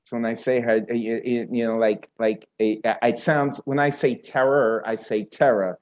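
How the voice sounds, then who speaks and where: A man's voice: mid-range voice, steady tone, moderate pace, darkish tone. Hyped Bronx man hosting an open mic. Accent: New York, Bronx. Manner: hyped, friendly, slangy. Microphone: video call.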